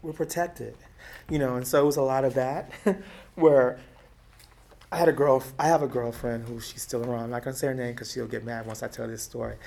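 A middle-aged woman from Texas with a deep, raspy voice, talking and laughing her way through memories in an oral history interview. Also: a little fast. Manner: lively, talkative, laughs often. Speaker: activist interviewee.

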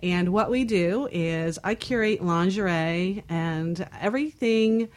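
Casual woman guest, slightly low voice. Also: slow, slight background noise. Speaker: talk show guest, lingerie curator. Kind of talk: casual chat.

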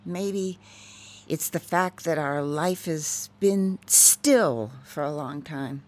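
A woman's voice: low-pitched, slow pace. A woman acting a resentful, lonely middle-aged wife and mother in a radio drama. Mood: wistful, hurt.

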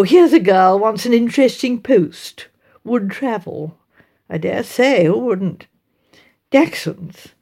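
A woman's voice: mid-range voice, vocal fry, darkish tone, exaggerated voice. A woman reader plays a middle-aged English village lady in an audiobook novel. She has a genteel upper-middle-class accent and prattles on, fussy and full of small opinions.

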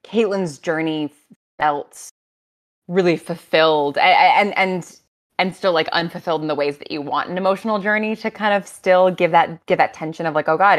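A woman talking as a series writer on a panel, casual, earnest and discursive. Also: fairly low pitch.

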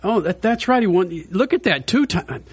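A man's voice: high voice, lively intonation, normal speed, husky, no echo. A man hosting a radio show, talking in a brash, joking way and swearing freely. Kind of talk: loud casual radio chat.

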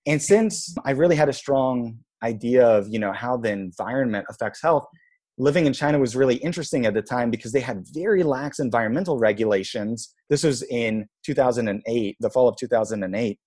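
A man in his thirties from Israel, a guest telling his life story in a relaxed, reflective way, with many "like"s and "you know"s. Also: mid-range voice, fairly quick. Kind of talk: casual storytelling interview.

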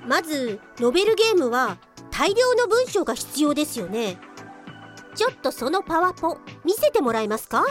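A woman playing an exasperated, sharp-tongued office police officer in a comedy radio drama, with blunt scolding retorts. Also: high voice, very animated, normal speed, faint music behind. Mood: interrogating.

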